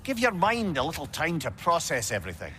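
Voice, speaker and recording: Game companion: avuncular Scottish old wise man, lively melodic delivery, witty, noisy background. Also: a little high, a little fast. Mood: easygoing counsel. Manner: wry, conversational, counselling. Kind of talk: video game dramatic acting.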